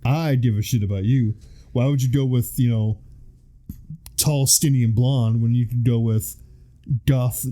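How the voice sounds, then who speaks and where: A man's voice: mid-range voice, fairly even intonation, measured pace, pauses often, mellow. A man in his thirties, a podcast co-host, chatting casually and rambling with lots of fillers. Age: 30s. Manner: rambling, filler-heavy storytelling. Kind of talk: casual chat.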